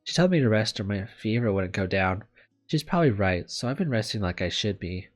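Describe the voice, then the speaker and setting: Man reading as a glum, self-doubting young woman teacher, low-voiced. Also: expressive, a little fast, natural voice. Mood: lonely. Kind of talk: visual novel read aloud. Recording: background music under the voice.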